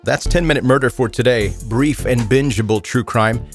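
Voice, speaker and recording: A man's voice: mid-range voice, normal speed, soft background music. A man hosting a true crime podcast, reading scripted narration with casual asides.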